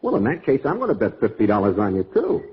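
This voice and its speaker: An actor playing an eager, shifty, penniless sidekick in a vintage radio comedy, scheming with mock dignity. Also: male voice, on the low side, wildly swooping pitch, fast talker, husky, exaggerated voice. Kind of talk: 1940s-50s radio comedy acting before a live audience. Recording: muffled, slightly hissy vintage radio recording.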